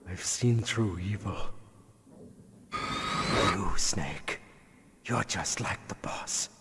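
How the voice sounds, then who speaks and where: An actor playing an eerie, dying psychic villain in a video game, speaking in slow, hushed, whispery tones. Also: male voice, sits low, full character voice. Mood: menacing. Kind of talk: video game cutscene acting. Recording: reverberant game audio with faint noise.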